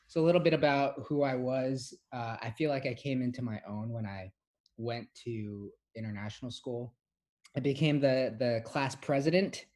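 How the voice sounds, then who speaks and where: A man's voice: lowish voice, a little mellow. A young man from Hong Kong, a podcast co-host, telling stories in casual chat with plenty of fillers and restarts. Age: young adult. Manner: rambling storytelling with many fillers and restarts.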